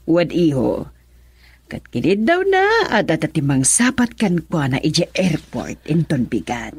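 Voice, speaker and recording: A woman's voice: low voice, wildly swooping pitch, a little slow, slightly rough, no room sound, full character voice. A Filipino woman playing a stern, controlling middle-aged mother in a radio drama. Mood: insistent.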